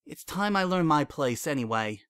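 A man voicing a heartbroken young female pony who speaks in a cold, flat tone that breaks into sobbing, in a dry recording. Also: on the high side, normal speed, clear, resonant tone, no room sound, pitched above the performer's own voice. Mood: flat, bitter.

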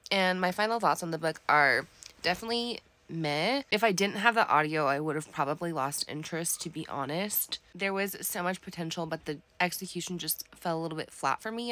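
A woman's voice: low-pitched, normal speed, bright, forceful tone. A young woman talking casually about her reading in a vlog, rambling with many fillers. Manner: chatty, rambling, lots of "like" fillers. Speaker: book vlogger.

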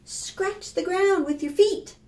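A woman in her fifties reads a picture book aloud to preschoolers, expressive and instructive, addressing the children directly. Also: extremely high pitch, takes it slowly. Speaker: children's storytime librarian. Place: small plain room indoors. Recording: roomy echo, faint background noise.

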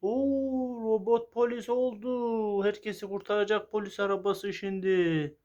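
A woman's voice: medium pitch, steady tone, unhurried, mellow, dull audio. A woman chatting casually with a young child during play, coaxing and encouraging with simple prompts. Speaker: adult playing along with a young child.

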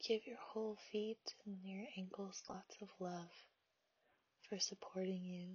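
Woman meditation guide: breathy half-whisper, unhurried delivery, muffled dry home recording. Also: medium pitch, fairly even intonation, dry recording. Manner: soft, half-whispered, slow and soothing.

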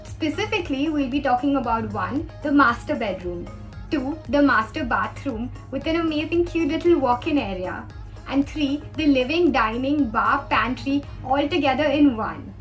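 A woman's voice: sits high, expressive, moderate pace. A young woman, an interior designer, talking to the camera in an upbeat, explanatory way as she presents a design tour. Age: young adult. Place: green-screen studio, indoors. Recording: distant mic with background music.

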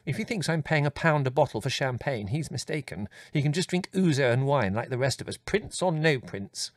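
A man's voice: on the high side, lively intonation, normal speed, no room sound, clean studio sound, pitched above the performer's own voice. A man voicing a flustered, fretful middle-aged mother in an audiobook, with a fussy, exclaiming manner. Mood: firm, irritated. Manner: quavering, exclaiming, fussing.

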